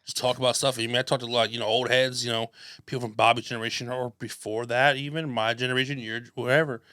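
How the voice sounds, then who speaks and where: Brief: young male host, bright tense tone, casual rambling banter, dry sound. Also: medium pitch, little pitch movement, quick pace, clean tone, no room sound. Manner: joking, rambling, loose. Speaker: podcast host. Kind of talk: casual chat.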